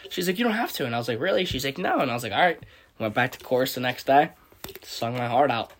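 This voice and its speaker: A man's voice: medium pitch, animated, fast talker. A young American man chatting casually with friends and telling stories in a slangy, joking way. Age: young adult. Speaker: podcast co-host. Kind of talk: casual chat and anecdotes with friends.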